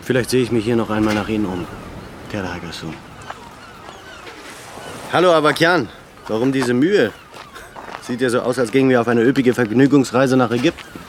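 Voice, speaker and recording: An actor in a German radio drama playing a weary, serious leader, reflective yet used to command. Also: male voice, mid-range voice, moderate pace, pauses often, a little hiss or hum, lightly acted. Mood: brisk, ironic. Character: weary, serious male leader longing for solitude.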